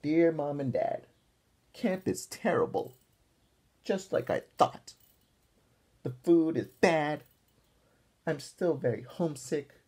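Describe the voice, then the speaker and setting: A man's voice: fairly high pitch, animated, slow pace, pauses often, a little mellow, slightly rough, voice pushed up, exaggerated voice. A man reading a storybook, voicing a homesick, grumbling schoolboy reading out a plaintive letter from camp.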